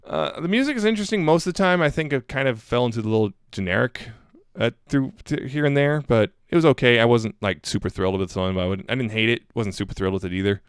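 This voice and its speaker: A man co-hosting a podcast in casual, unscripted chat, low-key and often rephrasing and hedging his opinions. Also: quick pace, smooth, no room sound, studio quality. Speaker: podcast co-host reviewing fan music.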